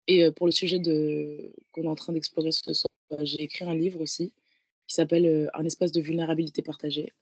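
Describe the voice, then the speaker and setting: A woman in her twenties with a fairly low voice, talking about herself in a casual, reflective way. She is a guest in a conversation, recorded dry and close to echo-free. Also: flat delivery, fairly quick, lo-fi sound. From Paris, France. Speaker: guest, professional handball player.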